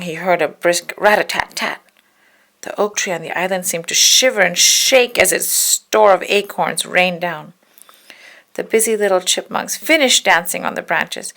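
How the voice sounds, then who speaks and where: A woman's voice: fairly low pitch, normal speed, gravelly, a bit bright. A woman narrating a children's story as a read-aloud. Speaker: storybook reader.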